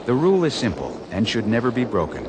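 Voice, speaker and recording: A man's voice: huge pitch swings, fast. A man giving emphatic, advisory scripted narration for a safety training video. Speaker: instructional narrator. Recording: noisy, with vehicle-like rumble.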